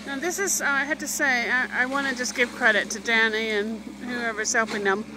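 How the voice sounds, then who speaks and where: A woman's voice: on the high side, fairly even intonation, moderate pace. Chatty woman filming outdoors by a digger. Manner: chatty, many fillers and "you know". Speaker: homeowner filming renovation. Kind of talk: casual narration while filming. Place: outdoors at a building site. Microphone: phone camera held by the narrator. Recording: outdoor phone video with loud machinery. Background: digger engine noise.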